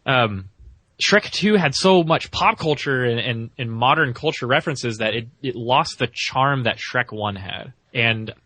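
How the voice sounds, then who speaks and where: A man co-hosting a podcast, casually reasoning aloud through his opinions on animated films. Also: mid-range voice, a little slow, no room sound. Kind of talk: casual film discussion.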